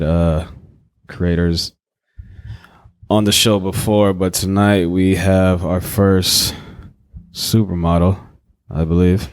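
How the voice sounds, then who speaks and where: A man's voice: low voice, a little mellow, smooth, dry recording. A man hosting a podcast, asking casual, informal questions. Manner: laid-back, informal questions. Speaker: podcast host. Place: Oakland area podcast.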